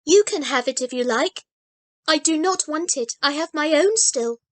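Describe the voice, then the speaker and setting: A woman putting on a little storybook girl, high and eager, her lines bursting out with excitement. The voice sits very high and sounds dry and close. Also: normal speed, no echo. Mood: firm.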